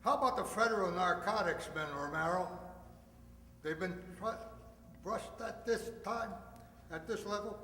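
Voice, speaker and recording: An actor playing a cautious, authoritative police captain in a radio crime drama. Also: male voice, sits high, measured pace, pauses often, bright, forceful tone. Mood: brisk, cautious. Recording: echoey hall with faint noise.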